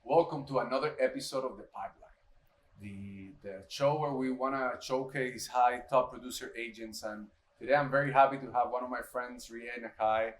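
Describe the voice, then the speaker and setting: A man's voice: mid-range voice, fairly even intonation, moderate pace, a little hiss or hum. A man hosting a podcast interview, upbeat and chatty, often restarting his phrases. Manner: enthusiastic, stumbling restarts. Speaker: podcast host.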